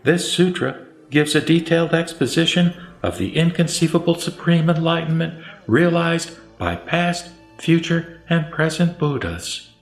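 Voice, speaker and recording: A man reciting the Buddha's part in a scripted sutra audio drama, as a serene, authoritative teacher with solemn, deliberate phrasing. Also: on the high side, lively intonation. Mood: serene, instructive. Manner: solemn, didactic, deliberate listing. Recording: roomy echo, music bed underneath.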